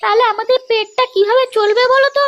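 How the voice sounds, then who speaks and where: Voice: very high voice, steady tone, fast talker, fairly bright tone, muffled recording. A performer voicing a nagging, plaintive housewife in a comic cartoon. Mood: reproachful.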